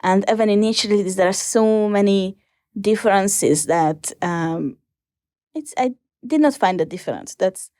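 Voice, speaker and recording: A young woman with a Hungarian accent, answering interview questions in a hesitant, casual way with many pauses and fillers. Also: medium pitch, mellow, dry recording, clean studio sound. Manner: hesitant, many ums and restarts. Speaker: interview guest.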